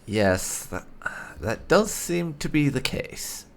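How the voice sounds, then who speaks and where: A man hosting a gaming playthrough, reading his character's dialogue aloud in a deliberate, theatrical way. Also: on the high side, very animated, gravelly, slight background noise. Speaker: gaming channel host, player.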